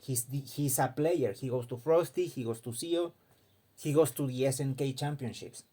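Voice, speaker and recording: A man's voice: mid-range voice, moderate pace, dark tone, husky. A man from Mexico, giving an impassioned casual opinion monologue and often repeating words as he searches for them. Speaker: fighting game commentator.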